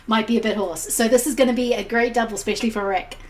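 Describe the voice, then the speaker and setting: A woman hosting a casual film podcast, her voice slightly croaky from a sore throat. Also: a little high, steady tone, fast. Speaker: podcast host.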